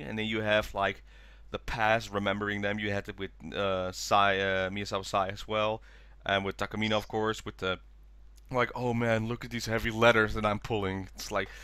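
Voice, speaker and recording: A man's voice: fairly low pitch, bright, forceful tone, clean tone, dry recording. A man hosting a casual fan discussion podcast, slightly stuffy-nosed with a cold coming on. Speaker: podcast host.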